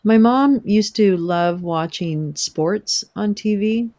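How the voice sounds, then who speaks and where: A young woman hosting a casual livestream, chatting with viewers, swearing and laughing often, her voice wearing hoarse. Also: medium pitch, takes it slowly, darkish tone. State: losing her voice. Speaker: livestream host. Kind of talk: casual livestream chat reading viewer comments.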